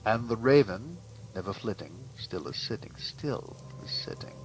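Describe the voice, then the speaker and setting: A man giving a deliberate, theatrical dramatic poetry reading that moves between hushed and emphatic delivery. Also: fairly low pitch, animated, poor audio. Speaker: actor, poetry reader. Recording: clear background ambience and music. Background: music and ambient atmosphere.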